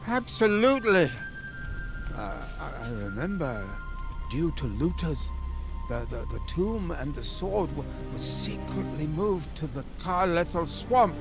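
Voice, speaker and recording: An actor playing a fussy, flustered scholar in a fantasy video game, with a stammering, theatrical, wordy delivery. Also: male voice, fairly high pitch, sing-song, unhurried, over music, poor audio. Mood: flustered, excitable. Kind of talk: video game character acting.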